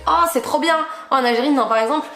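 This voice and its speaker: A woman's voice: high voice, fast, fairly bright tone, echoey room, faint music behind. A young woman from Algeria with a slight Algerian accent, chatting casually and laughing often as a vlogger. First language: Kabyle or Arabic. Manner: bubbly, laughs often, reads report card aloud. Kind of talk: casual chat.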